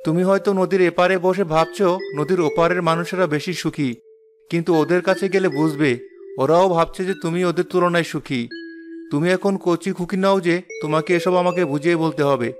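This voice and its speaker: A man's voice: fairly high pitch, steady tone, a little fast, close to an everyday voice. A man playing a busy, impatient rich husband in a cartoon fairy tale, lecturing and dismissive. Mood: weary, lecturing. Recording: music behind the voice.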